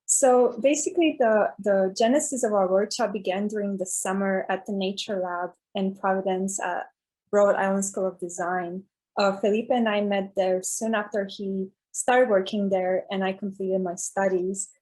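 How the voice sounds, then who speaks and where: A woman's voice: mid-range voice, fairly even intonation, moderate pace, darkish tone, poor audio. A woman introducing a workshop on a video call, explaining its background a little hesitantly. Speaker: workshop presenter.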